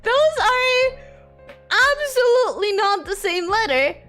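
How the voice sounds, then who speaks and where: Young woman, very high voice, lively swooping pitch, expressive casual chat, soft music behind. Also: takes it slowly. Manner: expressive, swings between high squeals and low mutters. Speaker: streamer. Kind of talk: casual chat reading viewer messages.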